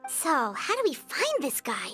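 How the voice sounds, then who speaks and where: A woman voicing a tiny, chirpy, eager girl companion in a video game, with a squeaky childlike voice. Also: huge pitch swings, unhurried, sharp tone, faint music behind, full character voice. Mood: curious.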